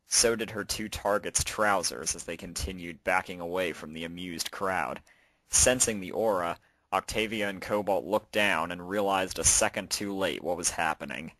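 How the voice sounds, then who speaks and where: A man's voice: low voice, a bit bright, no echo, rough recording. A man reading out a story as its narrator, in a scripted storytelling style. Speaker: narrator and fan fiction author.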